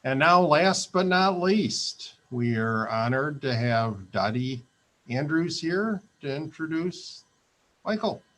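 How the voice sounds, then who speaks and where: A man presenting speakers at a formal meeting, slow and courteous. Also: on the high side, animated, a bit bright, a little hiss or hum.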